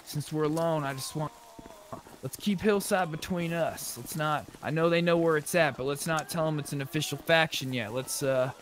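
A man's voice: a little high, normal speed. A man chatting casually in character during an online survival roleplay game, talking over a gaming voice chat microphone. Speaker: player in a zombie survival roleplay. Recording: clearly noisy game voice chat.

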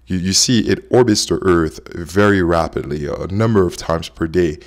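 Man, thirties, low-pitched voice, from Haiti, casual vlog narration. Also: normal speed. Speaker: host, app co-founder.

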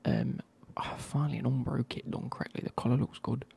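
A man, reviewing football kits in a soft, casual whisper with wry asides. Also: medium pitch, fairly even intonation, a little fast, gravelly, slightly rough.

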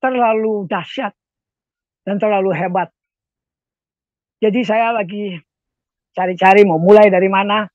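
High-voiced older man, lo-fi call. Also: fairly even intonation, a little slow, pauses often, a little mellow, no echo. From Jakarta, Indonesia. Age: 60s or older. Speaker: guest giving a testimony, lay street evangelist. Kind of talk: personal testimony. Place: room at home, indoors. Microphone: wired earphones on a video call. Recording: dull lo-fi video call.

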